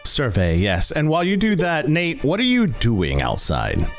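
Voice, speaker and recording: A man's voice: on the high side, wildly swooping pitch, normal speed, soft background music. A man acting as game master, improvising narration for a tabletop roleplaying game.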